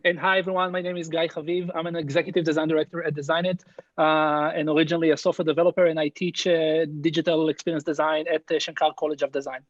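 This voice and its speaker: A man's voice: fairly high pitch, level tone, quick pace, dry recording, highs cut off. A man introducing a design workshop, hesitant with many fillers and restarts. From Israel. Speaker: workshop facilitator, design director.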